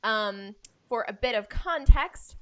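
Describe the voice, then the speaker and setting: A woman's voice: on the high side, expressive, slow, fairly bright tone, smooth. A woman from the United States hosting an arts podcast in a casual, enthusiastic and effusive chatting style. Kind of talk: casual chat, introducing guests.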